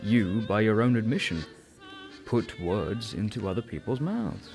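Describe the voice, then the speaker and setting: A man's voice: lowish voice, expressive, a little slow, creaky, over music. A man playing a sly, all-knowing visitor. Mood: mocking. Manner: sly, teasing, knowing, with soft laughs. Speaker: mysterious visitor. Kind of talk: radio play acting.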